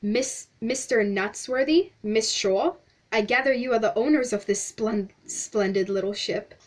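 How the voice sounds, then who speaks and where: Woman doing a babbling showy old gent, flowery, gushing, loud and a bit high. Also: a little slow. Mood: effusive. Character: pompous chatty older male explorer, smallish.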